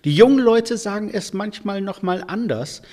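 A man in a church role answering interview questions, explaining thoughtfully and letting phrases fade softly at their ends. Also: high-pitched, lively intonation, normal speed.

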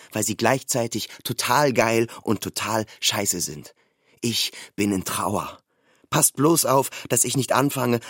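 Radio drama: mournful, wry man, declarative deadpan reading, medium pitch, very dry sound. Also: no echo. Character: man reading out his wry, mournful social media post.

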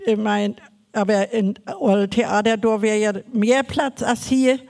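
An older woman with a Mecklenburg Low German accent, chatting as a guest. She rambles a little, working into long stories. Her voice sits at an ordinary middle pitch. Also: level tone, measured pace, vocal fry, darkish tone. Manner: chatty, rambling dialect speech. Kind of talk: casual chat on stage.

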